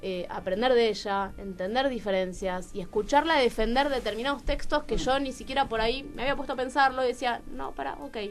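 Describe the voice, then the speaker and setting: A woman's voice: on the high side, fast talker, hardly any pauses, a little hiss or hum. An Argentine woman speaking casually and personally as a guest on a radio show. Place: radio studio.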